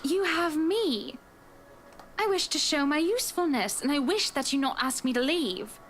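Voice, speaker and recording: A very high female voice for a doting, anxious wife in a visual novel game. Her phrasing is formal and old-fashioned, always eager to serve, with crowd-like chatter behind her. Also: lively intonation, moderate pace. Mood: pleading, devoted.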